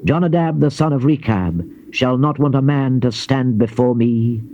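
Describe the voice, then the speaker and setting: An actor playing a grave Old Testament prophet in a dramatized scripture reading, declaiming in measured phrases. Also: male voice, medium pitch, warm tone, natural voice. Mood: stern, solemn. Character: solemn male Old Testament prophet recounting God's warnings. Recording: dull noisy old cassette tape.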